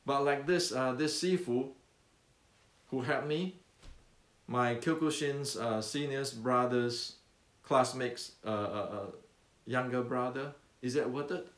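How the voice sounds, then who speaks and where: Older man, Indonesian accent, earnest teacher, halting, lots of restarts, roomy echo. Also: mid-range voice, a bit bright. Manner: earnest, repetitive, halting with many fillers and restarts. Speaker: martial arts teacher and channel host. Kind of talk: unscripted talk to camera.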